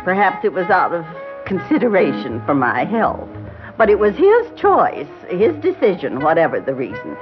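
A woman's voice: lowish voice, huge pitch swings, normal speed. Prim elderly grandmother, swooping delivery. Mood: defensive. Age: older. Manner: prim, hesitant, evasive. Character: genteel, defensive elderly grieving grandmother. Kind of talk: classic radio drama acting. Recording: dull vintage radio recording with music.

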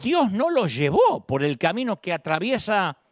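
Animated Argentine pastor preaching. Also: male voice, normal speed, no echo, studio quality. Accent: Argentine Spanish, Buenos Aires. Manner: emphatic preaching with sudden pitch swings and repeated words. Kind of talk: sermon.

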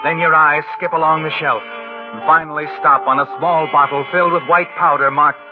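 Man as an ominous, higher-pitched radio thriller narrator. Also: steady tone, moderate pace, close to an everyday voice. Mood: ominous, insinuating. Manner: insinuating, suspenseful, rhetorical tag questions. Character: ominous male narrator addressing the schemer as you. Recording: tinny old radio broadcast over music.

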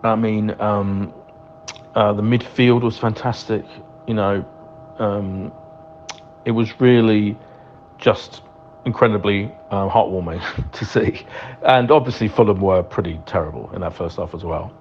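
A man giving a casual fan's match reaction in hesitant, pause-filled phrases, on a dull lo-fi recording. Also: lowish voice, a little mellow. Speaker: fan contributor. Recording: dull lo-fi audio, clear humming noise.

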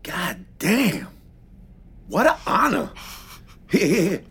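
A friendly ny stoner shop owner, raspy gravelly voice, goes way up and down, slangy and effusive. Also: male voice, high-pitched, wildly swooping pitch, takes it slowly, fairly bright tone, exaggerated voice. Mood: excited, salesman-like. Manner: effusive, slangy, hustling patter. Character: exuberant, friendly neighborhood empanada-shop owner and stoner man. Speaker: actor in scripted fiction podcast.

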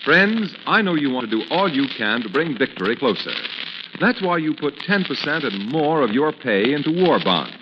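A male announcer delivers scripted copy in emphatic 1940s radio style. His pitch moves up and down with great animation. The vintage recording is muffled and noisy. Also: sing-song, normal speed. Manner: emphatic, declamatory.

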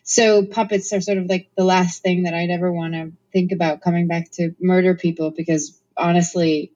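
A woman from Norway, an actress talking as a guest in a casual interview, chatty and quick to laugh, with many ums. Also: fairly low pitch, little pitch movement, warm tone. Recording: slightly muffled recording.

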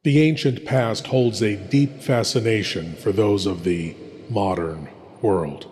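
A man's voice: lowish voice, slow, a little mellow, slight background noise. A man hosting a horror show, giving an ominous, theatrical scripted introduction with dramatic weight. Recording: long cavernous reverb, music behind.

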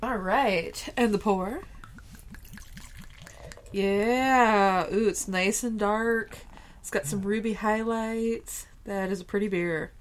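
A woman's voice: mid-range voice, takes it slowly, slight background noise. A woman co-hosting a podcast, chatting in a bubbly way, giggly and full of exclamations. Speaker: podcast co-host. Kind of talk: casual chat.

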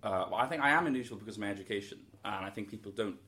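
A man's voice: on the low side, very fast, bright, forceful tone. A young man from Wales, a student answering interview questions in an earnest, thoughtful way, full of hedges and restarts. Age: young adult.

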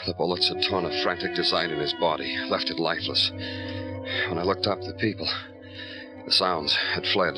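A brooding American police detective in a vintage radio crime show. His voice sits low, and he narrates tersely and deadpan, in poetic hard-boiled phrases. Music swells behind him. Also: low-quality recording. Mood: somber, shaken. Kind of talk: 1950s radio drama acting, hard-boiled first-person narration.

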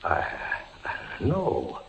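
An actor playing a tormented, frightened captive soldier in a 1940s radio suspense drama, halting and stammering, repeating his words. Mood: fearful. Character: tormented, frightened male soldier imprisoned by the Inquisition. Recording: muffled, noisy 1940s radio recording.

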